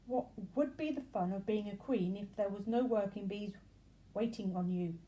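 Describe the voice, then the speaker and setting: A woman's voice: mid-range voice, normal speed, dark tone, natural voice, low-quality recording. A woman voicing a weary, sensible aged bee in a children's story, buzzing and chiding.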